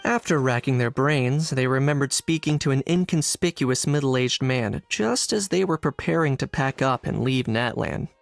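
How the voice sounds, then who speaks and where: A man's voice: medium pitch, animated, moderate pace, vocal fry, hardly any pauses, faint music behind. An actor playing a shrewd young man narrating and musing as he investigates smugglers in a game. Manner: thoughtful, inquisitive inner monologue.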